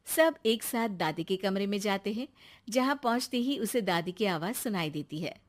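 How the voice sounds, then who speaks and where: A woman's voice: medium pitch, expressive, moderate pace. A woman reading scripted narration for a family moral story.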